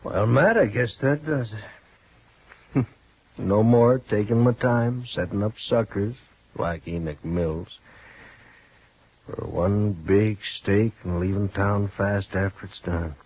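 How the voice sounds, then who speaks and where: Husky, slow-talking wounded gambler. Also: male voice, lowish voice, heavily acted. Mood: rueful, resigned. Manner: slow, halting, rueful chuckling. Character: wounded gambler gunslinger, drunk, bitterly relieved. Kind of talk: 1950s western radio acting. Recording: dull, dry old radio recording.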